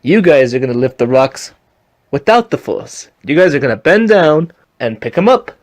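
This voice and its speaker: A man voicing a patient, wise Jedi master who instructs pupils deliberately, with dramatic pauses, in an amateur fan story. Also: on the high side, very animated. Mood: firm instructing.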